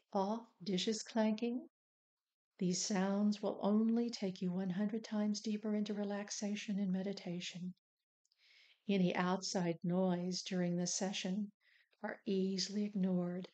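Mellow-voiced woman guiding a meditation. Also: mid-range voice. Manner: soothing, deliberate instructing. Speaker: meditation guide. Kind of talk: guided meditation narration.

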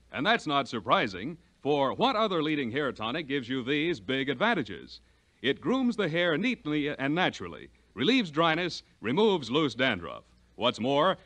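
A man's voice: medium pitch, bright, forceful tone. A man working as a radio announcer, reading scripted sponsor copy and credits in polished, emphatic 1940s style. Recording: 1940s radio broadcast recording.

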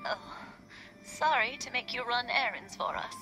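An actress playing a gentle, doting old village wife in a video game. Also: female voice, on the high side, normal speed, close to an everyday voice, poor audio. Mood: doting, wistful. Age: older. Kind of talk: video game character dialogue. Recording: music behind the voice.

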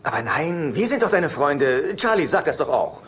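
High-voiced, fussy sitcom divorcé. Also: male voice, very animated, moderate pace, fairly bright tone. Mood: eager. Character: fussy, anxious divorced man, brother of a carouser. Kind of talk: German-dubbed sitcom acting.

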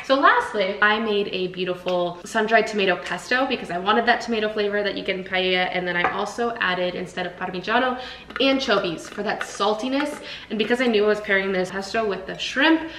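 A woman's voice: medium pitch, a little fast, pushed and bright, slight background noise. Chatty young woman in an echoey kitchen. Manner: chatty, enthusiastic, lots of "like" and "so many". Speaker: cooking channel host. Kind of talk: casual cooking tutorial. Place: home kitchen, indoors.

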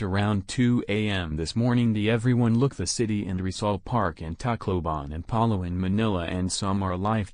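A man's voice: lowish voice, no echo, studio quality. A man reading a scripted mock emergency broadcast as its announcer.

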